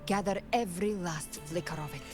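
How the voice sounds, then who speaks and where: An actress playing a solemn, poised woman guiding a hero in a video game, speaking in a grave, measured, dramatic way. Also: on the low side, a little mellow, lightly acted. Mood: solemn, resolute. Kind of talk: scripted video game voice acting. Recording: game dialogue over clear background music. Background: game music.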